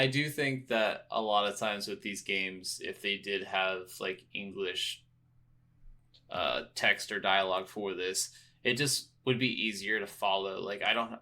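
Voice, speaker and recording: A man with a fairly low but bright, forward voice co-hosts a casual gaming podcast. He comes across as agreeable and easygoing as he talks through a review. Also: fairly even intonation, moderate pace. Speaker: podcast co-host. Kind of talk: casual game review chat.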